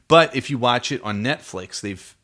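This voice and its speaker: A man's voice: medium pitch, animated, moderate pace, fairly bright tone. A man co-hosting a casual film discussion, explaining trivia and putting questions to his co-host. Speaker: podcast co-host.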